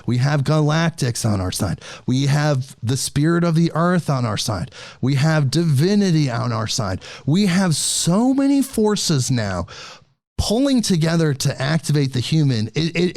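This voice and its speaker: A man's voice: a little high, sing-song, unhurried, a little mellow, no room sound, clean studio sound. A man hosting a podcast, talking earnestly and with enthusiasm in a casual spiritual conversation. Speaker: podcast host.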